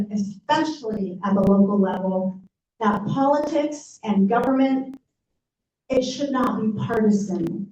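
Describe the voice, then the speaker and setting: A woman's voice: on the high side, darkish tone, a little hiss or hum. A woman from Puerto Rico whose first language is Spanish, giving an earnest campaign speech and checking in with the crowd. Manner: earnest, appeals to the crowd with tag questions. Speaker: political candidate. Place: community meeting room. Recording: roomy, distant and dull room recording.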